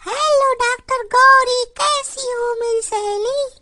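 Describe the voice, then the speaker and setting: A woman voicing a fretful but brave auntie sparrow in a children's cartoon, concerned and expressive, with music behind her. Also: very high voice, takes it slowly. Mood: earnest. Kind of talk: Hindi children's cartoon voice acting.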